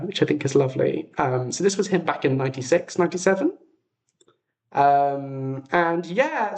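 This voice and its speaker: A British man speaks at a middling pitch in a chatty, informal livestream style. He tells stories conversationally, hesitating and breaking off to rephrase as he goes. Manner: chatty, hesitant, self-interrupting. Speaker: livestream host. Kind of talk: casual livestream chat, storytelling.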